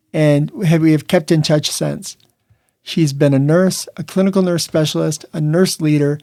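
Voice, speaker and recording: Male podcast host, earnest and friendly, gravelly tone. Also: fairly high pitch, warm tone, dry recording, studio quality. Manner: earnest, reads listener reviews aloud. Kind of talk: podcast interview.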